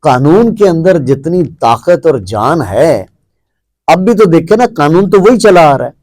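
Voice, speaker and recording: Pakistani male interviewer, dark dry voice. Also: a little high, big pitch swings, no room sound. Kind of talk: interview questioning with long commentary.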